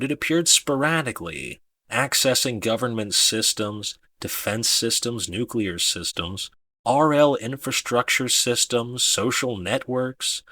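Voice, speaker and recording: A male storyteller delivers scripted narration with a lively, swinging pitch. His tone is bright and forceful, and he places deliberate stress on key words. The sound is dry, with hardly any room to it. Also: slightly rough, no echo. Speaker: audiobook narrator.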